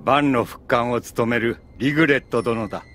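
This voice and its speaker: A man's voice: mid-range voice, normal speed, fairly bright tone, close to an everyday voice. An actor playing a dignified, patient elderly grandfather in an anime audio drama, speaking formally. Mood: explanatory. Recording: music behind the voice.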